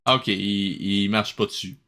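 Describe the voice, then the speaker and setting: A man's voice: on the low side, fairly even intonation, normal speed, pushed and bright, soft background music. A man doing casual, amused reaction commentary, rambling and often interrupting himself. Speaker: host reviewing an old cartoon.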